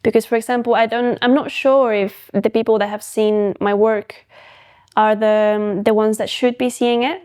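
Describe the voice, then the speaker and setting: A woman's voice: fairly high pitch, fairly even intonation, normal speed, a little mellow, clean tone. A young woman from Mexico, a photographic artist and podcast guest, talking thoughtfully in a casual interview and often restarting her sentences. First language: Spanish.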